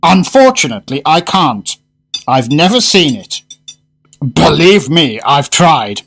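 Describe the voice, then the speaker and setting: Actor as a swooping, fervent gourmet gentleman. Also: on the high side, sing-song, slow pace, faint music behind, full character voice. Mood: wistful. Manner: grandiose, emphatic, ornate phrasing. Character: fussy, fervent gourmet diner, a well-spoken man. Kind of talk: radio drama acting.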